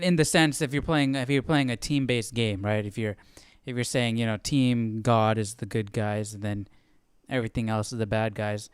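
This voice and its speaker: Guy hosting a bible study stream, smooth clear voice, dry sound, just chatting and musing. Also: mid-range voice, dry recording. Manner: conversational, musing aloud, exclaims in reaction. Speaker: host of a Bible study livestream. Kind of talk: casual reacting and commenting.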